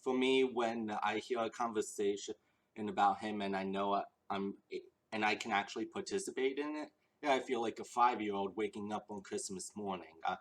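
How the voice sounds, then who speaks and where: A young man answering viewer questions in a casual chat, earnest and eager, rambling with ums and restarts, a little out of breath. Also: on the low side. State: slightly out of breath.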